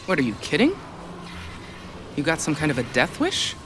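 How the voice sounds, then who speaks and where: An actor playing a wry, war-weary army captain in a video game cutscene. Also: male voice, fairly high pitch, huge pitch swings, moderate pace, background noise. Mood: wry, incredulous.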